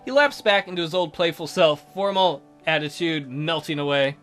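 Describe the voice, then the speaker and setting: A man's voice: a little high, moderate pace. A man hosting a let's play, reading visual novel narration aloud with casual asides. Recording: soft music behind the voice.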